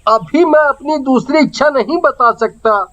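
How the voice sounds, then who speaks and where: A woman playing a poor, kind-hearted fisherman and father in a story, musing earnestly in drawn-out phrases. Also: high voice, a bit bright, muffled recording. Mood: earnest, hopeful.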